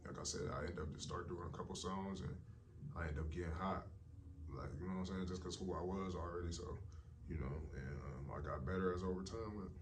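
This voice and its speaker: A young man with a very low, deep voice talks loosely and casually about his music, full of slang and "you know what I'm saying". He sounds a little distant from the mic. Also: a little fast. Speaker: rapper, interview guest. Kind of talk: casual interview chat.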